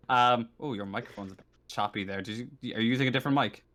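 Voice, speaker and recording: A man improvising scene narration as a game master, conversational and halting, often correcting himself. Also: medium pitch, fairly bright tone.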